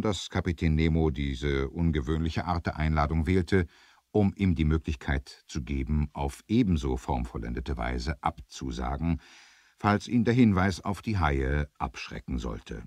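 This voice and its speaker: Man, narrator, deep bass-leaning voice, lively intonation, theatrical, deliberate, scripted 1970s radio storytelling. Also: no echo, studio quality.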